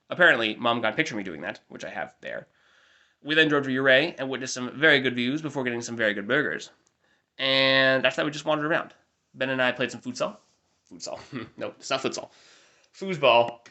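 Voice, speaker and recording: A man's voice: mid-range voice, pushed and bright. A nasal young man giving a wry, rambling recap of a family trip in mock-formal wording. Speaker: vlogger.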